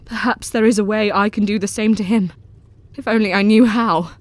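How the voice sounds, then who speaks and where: An actress playing a haunted young wife in an audio drama, who speaks in a halting, trembling way. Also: female voice, mid-range voice, fairly even intonation, dry recording, close to an everyday voice. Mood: determined.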